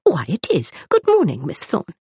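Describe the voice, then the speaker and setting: A woman's voice: medium pitch, huge pitch swings, normal speed, a little mellow, husky, no room sound, pitched above the performer's own voice, full character voice. A woman voicing a plump, chatty Regency society matron with a fussy, gushing manner.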